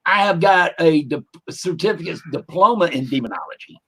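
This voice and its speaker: An older man with a fairly high voice for a man, chatting as a guest on a casual livestream. He rambles in a folksy, joking way, drifting into side stories before getting back to the question. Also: expressive, moderate pace, pushed and bright, breathy edge. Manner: rambling, folksy, jokey asides. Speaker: demonologist guest.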